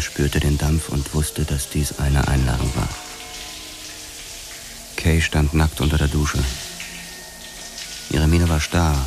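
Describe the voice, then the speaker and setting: Hardboiled detective narrator, extremely low pitch, sombre, measured, noir radio drama, noisy background. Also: male voice. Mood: uneasy, restrained. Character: hardboiled young male police detective, terse and brooding. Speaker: first-person narrator. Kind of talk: scripted noir crime narration.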